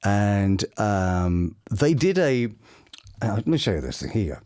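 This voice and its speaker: A middle-aged man with a British accent telling anecdotes in an expressive, enthusiastic way as an interviewed voice actor. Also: on the low side, a little slow, smooth. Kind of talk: animated anecdotal interview chat. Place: small home studio room. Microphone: boom-mounted studio microphone.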